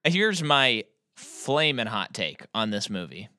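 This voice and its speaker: A man's voice: on the low side, sing-song, pushed and bright, dry recording, studio quality. A man co-hosting a podcast, giving opinionated, joking movie takes in casual chat. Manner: opinionated, quick to joke about his own hot takes. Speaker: podcast co-host.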